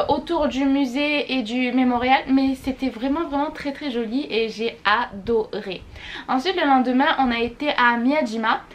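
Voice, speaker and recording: A young woman vlogging a casual monthly recap, gushing and repeating words for emphasis. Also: high-pitched. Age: young adult. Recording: faint background noise.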